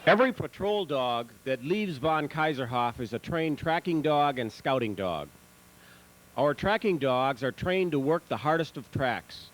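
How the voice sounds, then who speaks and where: A man reading scripted promotional narration in a measured, instructional manner. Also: mid-range voice. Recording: noisy old VHS tape transfer.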